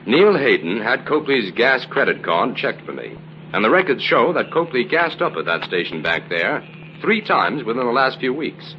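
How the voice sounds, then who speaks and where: An actor playing a calm, confident, methodical crime-fighting hero in an old-time radio adventure. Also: male voice, mid-range voice, normal speed, natural voice. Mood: confident, explaining. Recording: muffled, noisy old radio recording.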